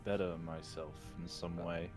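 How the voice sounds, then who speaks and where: A man's voice: sits low, fairly even intonation, moderate pace, over music. A man casually improvising as a tabletop game player, informal and jokey, swearing now and then. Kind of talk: casual improvised roleplay chat.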